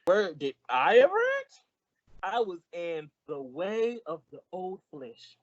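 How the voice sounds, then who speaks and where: A performer playing a childlike, wisecracking, excitable male comedian sidekick in a 1940s-style radio comedy. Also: medium pitch, takes it slowly, dry recording, lo-fi sound. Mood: boastful, joking. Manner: exclamatory, wisecracking.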